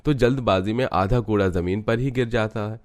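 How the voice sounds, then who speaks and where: A man narrating a cartoon story in a steady, scripted storytelling manner. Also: fairly low pitch, normal speed.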